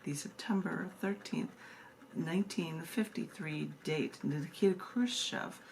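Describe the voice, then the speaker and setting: A woman's voice: low-pitched, a little slow, gravelly, poor audio. A woman with an American accent, a poet presenting and reading her own work live, emphatic and informal by turns. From United States. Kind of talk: live poetry reading with informal spoken introductions. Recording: noticeable background noise and room ambience.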